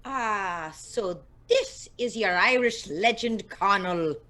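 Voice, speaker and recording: A woman's voice: medium pitch, takes it slowly, bright, forceful tone, a little hiss or hum. A woman playing a vain, touchy older music legend, haughty and theatrical, in a comedic audio drama.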